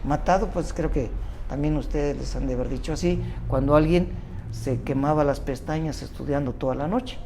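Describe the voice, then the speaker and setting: A man's voice: on the high side, normal speed, warm tone, slight background noise. An older man with a Mexican accent, a doctor telling his life story as an interview guest, rambling and correcting himself as he goes. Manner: rambling, self-interrupting recollection. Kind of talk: conversational storytelling.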